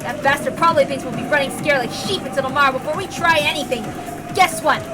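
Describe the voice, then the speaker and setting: A woman acts out a hot-headed young man in a visual novel drama, high-pitched and forceful. He speaks in loud, strained, emphatic outbursts full of anguish, over loud music. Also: fast talker, natural voice. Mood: furious, defiant.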